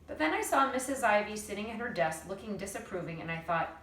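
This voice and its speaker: A woman narrates a storybook with an animated, dramatic delivery and deliberate phrasing. Her voice sits a little away from the microphone in a roomy space, with faint noise behind it. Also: medium pitch, distant mic. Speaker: teacher reading aloud.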